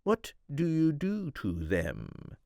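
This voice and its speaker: A man's voice: a little high, wildly swooping pitch, takes it slowly, vocal fry, darkish tone, no echo, clean studio sound, pitched above the performer's own voice, heavily acted. A man voicing a haughty, flirtatious countess in an audiobook, with a posh British accent and an imperious drawl. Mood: flirtatious, grand. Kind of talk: audiobook character voice.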